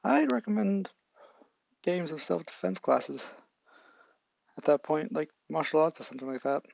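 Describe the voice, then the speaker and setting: A man voicing a calm adult male doctor who explains things matter-of-factly. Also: fairly high pitch, moderate pace, vocal fry, dry recording, dull audio, pitched above the performer's own voice, full character voice. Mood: explaining.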